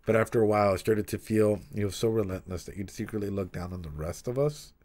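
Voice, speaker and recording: Casting: male streamer with a lowish voice, reading aloud and reacting casually, animated and loose with asides. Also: fast. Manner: animated, profane, frequent asides. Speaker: streamer and psychologist commentator.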